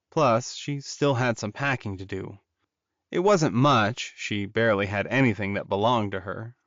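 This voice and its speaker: A man's voice: fairly low pitch, a bit bright, no room sound, highs cut off. Dry-sounding male audiobook narrator. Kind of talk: scripted fiction narration.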